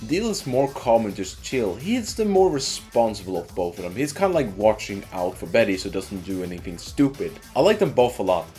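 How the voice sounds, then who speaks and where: A male game reviewer talks casually with very animated pitch movement. His voice sits a little low, and he gives his opinions in an informal, emphatic way. Faint music plays underneath. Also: normal speed, hardly any pauses. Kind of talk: casual opinion commentary, ranking characters. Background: background music.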